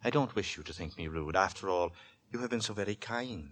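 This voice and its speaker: An actor playing a quiet, fanatically pious gentleman lodger in a 1940s radio horror drama, formal and courteous but feverish with scripture. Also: sits low, expressive, brisk. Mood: softly apologetic. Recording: vintage 1940s radio, music behind.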